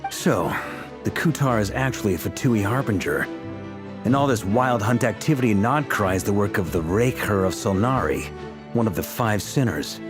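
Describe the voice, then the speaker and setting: An actor playing a hearty, wry, seasoned knight commander in a video game cutscene, talking casually and with self-deprecation. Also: male voice, on the low side, lightly acted. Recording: game music behind the voice.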